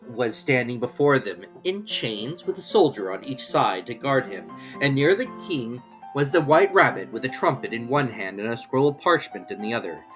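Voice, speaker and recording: Children's audiobook narrator, very lively pitch movement, scripted storytelling, soft music behind. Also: rough recording.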